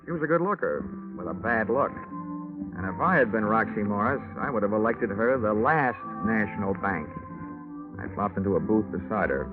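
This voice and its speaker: A man's voice: on the low side, animated, moderate pace, close to an everyday voice. An American actor playing a wry, slangy, hard-boiled male insurance investigator in an old-time radio detective drama. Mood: wry narration. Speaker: lead actor and narrator. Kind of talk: 1940s-50s radio detective acting, hard-boiled narration. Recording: old radio recording, narrow tinny band, music.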